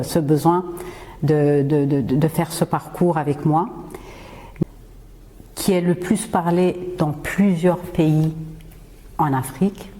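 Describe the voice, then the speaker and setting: A woman whose first language is Swahili talks slowly on her podcast, pausing often and repeating herself as she rallies her listeners. Her voice sits low and sounds mellow. A long reverb trails each phrase, as if she were in a large hall. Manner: slow, halting delivery with frequent "euh" and repeated words; impassioned, rallying. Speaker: podcast host. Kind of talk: earnest solo podcast monologue.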